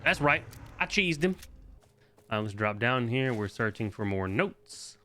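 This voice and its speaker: A man's voice: medium pitch, big pitch swings, unhurried, pushed and bright. A man hosting a video game guide, giving casual, informal walkthrough commentary with the odd swear word.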